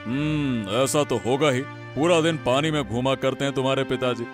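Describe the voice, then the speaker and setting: A man's voice: mid-range voice, moderate pace, music in the background, close to an everyday voice. A man playing a matter-of-fact, mildly scolding village doctor in a cartoon story. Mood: questioning, matter-of-fact.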